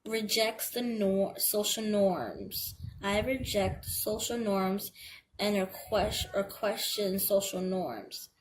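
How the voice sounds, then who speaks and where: A young woman with a girlish voice reads a checklist aloud and comments on it hesitantly, stumbling over words and filling pauses. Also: mid-range voice, poor audio. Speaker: vlogger. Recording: home video with clear background noise.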